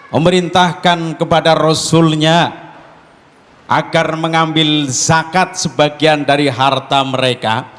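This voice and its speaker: High-voiced Tegal Javanese preacher, echoing hall. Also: male voice. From Tegal, Central Java, Indonesia. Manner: jokey, quizzes listeners with call-and-response questions. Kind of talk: interactive sermon to a congregation. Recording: long hall reverb.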